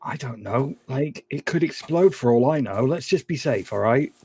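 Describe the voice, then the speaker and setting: A man playing a tabletop roleplaying game, talking casually in and out of character in a halting, deadpan way. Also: medium pitch, husky.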